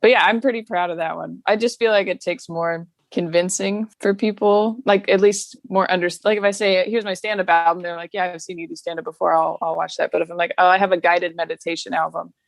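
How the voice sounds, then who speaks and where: A woman's voice: on the low side, fairly even intonation, brisk, vocal fry, few pauses, dry recording. A woman comedian chatting casually as a podcast guest over a video call, laughing often between her points. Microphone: remote video call mic.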